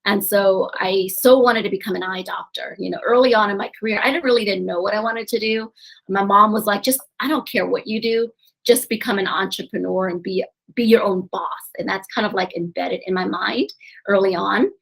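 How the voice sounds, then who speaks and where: Gravelly-voiced woman telling her story. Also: mid-range voice, brisk, poor audio. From grew up in New Orleans, USA. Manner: chatty and animated, laughs often while telling stories. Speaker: podcast guest, eye doctor and real estate investor. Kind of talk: casual interview storytelling.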